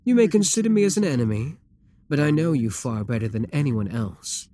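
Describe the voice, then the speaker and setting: A man performs a proud demon king for an ASMR roleplay. His voice sits at a medium male pitch and moves through wide, lively swings of intonation. He speaks with courtly, theatrical grandeur, imperious and seductive. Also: brisk, no echo. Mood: softly coaxing.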